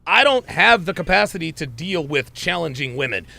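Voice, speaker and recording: A man's voice: fairly high pitch, very animated, normal speed, vocal fry, bright, forceful tone, no room sound. A man in his forties hosting a podcast interview, chiming in eagerly and affirming his guest often. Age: 40s. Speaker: podcast host.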